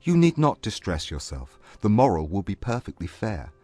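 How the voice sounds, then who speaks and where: A man playing a proud, earnest gentleman suitor in a dramatized period romance audiobook, speaking formally and devotedly. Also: lowish voice, very animated, normal speed, no room sound, soft background music. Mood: earnest, reassuring.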